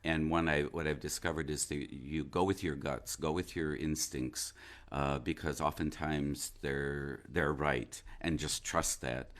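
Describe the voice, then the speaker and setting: Male interview guest, extremely deep voice. Stage actor reflecting on his craft, pausing to find words. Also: fairly even intonation, a bit bright. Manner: thoughtful, halting, searching for words.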